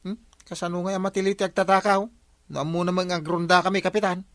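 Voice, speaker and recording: A performer plays a scheming village watch volunteer in an Ilocano radio comedy. The mid-pitched voice asks and coaxes inquisitively in a northern Philippine accent, recorded very dry. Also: flat delivery, quick pace, no echo, close to an everyday voice. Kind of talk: comedy radio drama acting.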